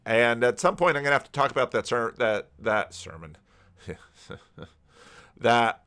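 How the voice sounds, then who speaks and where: A man giving casual, unscripted commentary in a rambling, halting way, often restarting his sentences. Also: medium pitch, lively intonation, a bit bright, clean tone. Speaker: YouTube commentator and pastor.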